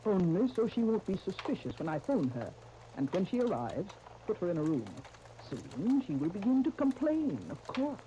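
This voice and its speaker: An actress as a scheming woman, lively swooping delivery. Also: mid-range voice, close to an everyday voice. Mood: commanding. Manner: commanding, deliberate instructions. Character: vicious scheming woman plotting to frame a family. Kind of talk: 1930s radio soap opera acting. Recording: muffled, noisy 1930s radio recording.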